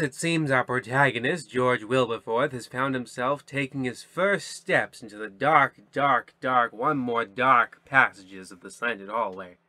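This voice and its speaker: A man's voice: mid-range voice, pushed and bright, no room sound. A man playing a theatrical, rambling, petty host who narrates a mock old-time spooky radio show. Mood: ominous. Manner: theatrical, digressive.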